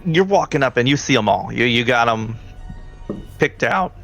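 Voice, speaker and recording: A man's voice: mid-range voice, lively intonation, normal speed, creaky, fairly bright tone, soft background music. A man speaking casually as game master, improvising narration for a tabletop role-playing game. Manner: casual, conversational.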